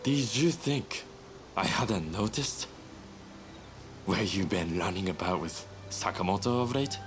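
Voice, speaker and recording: A man's voice: low voice, animated, measured pace, background noise. A man playing a roguish, laid-back young revolutionary in a video game cutscene, with a teasing, nonchalant delivery. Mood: cool, wistful.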